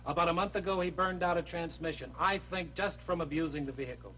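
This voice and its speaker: A weary, frustrated army captain. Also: male voice, on the high side, fast, pushed and bright, slight background noise, low-quality recording. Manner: weary, exasperated explaining. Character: frustrated male army captain, company commander. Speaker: company commander. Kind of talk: 1960s educational film acting.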